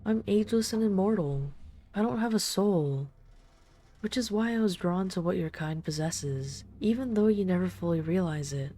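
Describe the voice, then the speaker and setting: A woman acts out an immortal vampire lady in an intimate audio drama. Her voice is low and velvety dark, soft and never rushed. She is tender and wistful, with the gentle condescension of someone used to giving orders. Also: lively intonation. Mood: wistful, reflective. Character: ageless female vampire, boss's daughter, tender, wistful and commanding. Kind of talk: intimate scripted audio roleplay.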